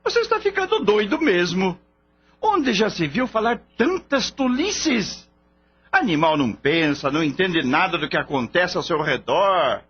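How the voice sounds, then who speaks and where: Scoffing, animated Brazilian veterinarian boss, acted by a man. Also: high-pitched, measured pace, husky, full character voice. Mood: mocking, amused. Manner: theatrical, derisive, scoffing. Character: mocking, cynical male veterinarian boss, laughing scornfully. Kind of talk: Brazilian radio drama acting. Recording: muffled, lightly noisy radio-play recording.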